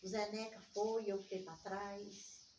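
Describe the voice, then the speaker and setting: An older woman with a Minas Gerais accent, telling personal stories in conversation and acting out remembered dialogue in an animated way. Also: medium pitch, a little slow. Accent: Brazilian Portuguese from Minas Gerais. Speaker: interviewee, community organizer. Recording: echoey room, distant mic, dull, faint noise.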